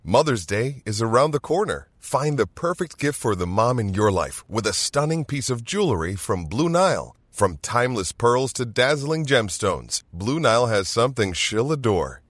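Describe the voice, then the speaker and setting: A man's voice: mid-range voice, sing-song, normal speed, hardly any pauses, fairly bright tone, no echo. A man announcer reading a scripted retail commercial. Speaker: advert announcer.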